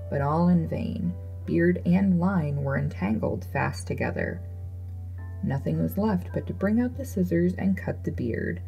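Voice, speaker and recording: A woman storyteller reads a fairy tale from a script. Her voice sits on the low side, soft-edged and dark, with noticeable creak. Music plays behind her. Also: poor audio.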